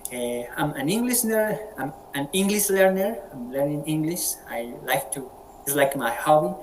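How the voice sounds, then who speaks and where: A man's voice: on the high side, animated, slight background noise, low-quality recording. Colombian guy hosting an english practice call, friendly, keeps repeating words, spanish accent. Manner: friendly, halting with frequent word repeats. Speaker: meeting moderator. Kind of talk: casual online group chat. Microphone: video call.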